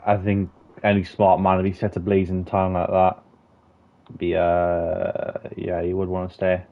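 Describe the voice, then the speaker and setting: Low-voiced guy doing sim racing commentary, swears a lot, jokey banter, kinda muffled stream audio. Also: a little slow, gravelly. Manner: sweary, excitable, banter-heavy. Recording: dull lo-fi stream audio with faint hiss.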